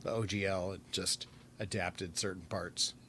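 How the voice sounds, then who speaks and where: Male podcast co-host, a bit deep, informal conversation over light background noise. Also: flat delivery, moderate pace, bright, forceful tone. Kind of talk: casual chat.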